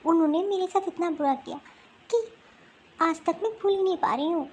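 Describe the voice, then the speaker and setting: A woman playing a hurt, resolute young married woman in an amateur family cartoon, with an emphatic, drawn-out delivery. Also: very high-pitched, a little mellow.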